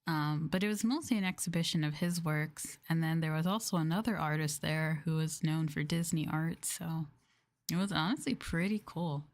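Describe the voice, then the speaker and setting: A soft-spoken, gentle woman streamer doing an ASMR livestream close to the microphone, sometimes drifting toward a whisper. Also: deep voice, normal speed, no room sound. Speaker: VTuber streamer.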